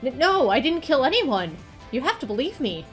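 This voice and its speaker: A woman's voice: high voice, sing-song, normal speed, faint music behind, voice pushed up. Woman playing a nerdy, stammering male superfan. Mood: panicked. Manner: stammering, fussy. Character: nerdy, defensive young male superfan, nervous and pompous. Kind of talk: visual novel character voice.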